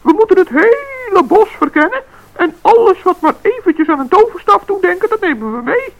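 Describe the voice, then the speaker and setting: An actor playing a kind-hearted little forest gnome in a radio play, with a very high, gravelly voice. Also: male voice, sing-song, normal speed, heavily acted. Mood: earnest. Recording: dull lo-fi radio play recording.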